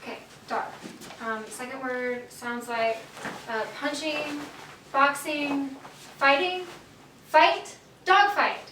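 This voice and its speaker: A woman's voice: high-pitched, slow pace, long pauses. A woman in a stage play, playing a bossy, chirpy party hostess. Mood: excited. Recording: echoey distant stage recording, faint noise.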